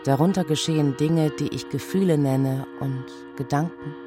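A performer recites poetry in a radio drama. The voice sits at a middle pitch, and music is clearly present underneath. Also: steady tone, normal speed. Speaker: performer reciting German translation.

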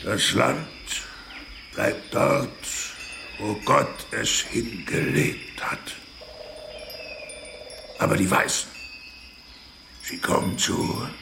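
An actor playing a weary old chieftain in a German radio drama, grave and halting, with a gravelly, breathy voice. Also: male voice, deep voice, full character voice. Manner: slow, halting, deliberate. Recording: echoey, with clear background noise.

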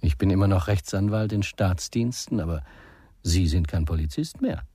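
An actor playing a formal, sceptical state lawyer who questions probingly in a German radio crime drama. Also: male voice, sits low, normal speed, warm tone, husky, dry recording, clean studio sound.

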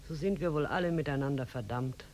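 A man's voice: on the high side, a little fast, a little mellow, close to an everyday voice. An actor playing a despairing, careworn family man who speaks with bleak resignation in a 1950s German radio play. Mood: despairing, resigned. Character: despairing, careworn letter writer with a hungry family. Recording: humming, noisy 1950s radio recording.

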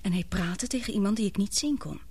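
An actress playing an anxious but brave, tender young woman in a radio drama, with breathy, emotional, hesitant delivery. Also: medium pitch, fairly quick, a little mellow, no room sound. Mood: tense, confiding.

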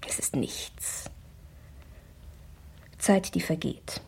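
An actress playing an anxious young woman in a radio drama, speaking in a hushed, halting inner monologue that is often whispered. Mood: troubled, intimate. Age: young adult.

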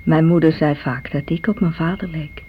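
An actress plays a grown woman looking back in a radio play. She is reflective and quietly independent, with a measured, plain delivery. The sound is muffled, with a faint hum underneath. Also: sits low, steady tone, close to an everyday voice. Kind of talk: radio play monologue, reminiscing.

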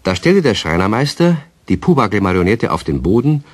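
A man narrating a radio play for children in an expressive storytelling manner. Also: lowish voice, fairly quick, husky, no room sound.

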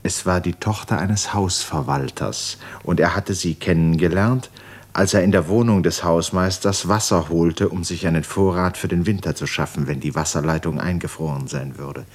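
A male narrator with a very low voice reads scripted literary prose. He tells the story of a radio play. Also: moderate pace.

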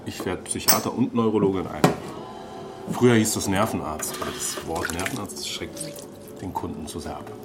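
An actor in a radio drama playing a weary, dry-witted young doctor with a laconic way of talking. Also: male voice, low voice, normal speed, loud music behind the voice, lightly acted. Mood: dry, jaded. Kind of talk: naturalistic German radio drama.

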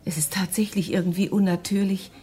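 An actress playing a soft, hesitant woman devoted to her lover in a German radio drama, often half-whispering. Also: medium pitch, steady tone, brisk, natural voice. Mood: hesitant, trusting.

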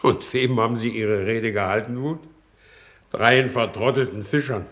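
Actor as blustering, scornful war minister. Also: male voice, mid-range voice, measured pace, fairly bright tone, lightly acted. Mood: exasperated, scornful. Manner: blustering, sarcastic, clipped. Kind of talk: 1950s German radio play acting.

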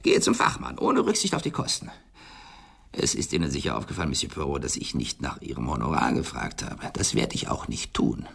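An actor playing an eccentric, suspicious old millionaire in a radio drama, with a hoarse, breathy, cracking voice and an abrupt manner. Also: male voice, a bass voice, wildly swooping pitch, brisk, full character voice. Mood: boastful, cranky. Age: older. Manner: erratic, abrupt, barking then hushed.

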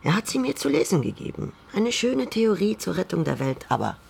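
Creaky-voiced, gruff tavern landlady. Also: on the low side, big pitch swings, brisk, a little mellow, full character voice. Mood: guarded, weary. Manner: curt, dry, guarded. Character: gruff, weary woman running a tavern. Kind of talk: German radio drama acting.

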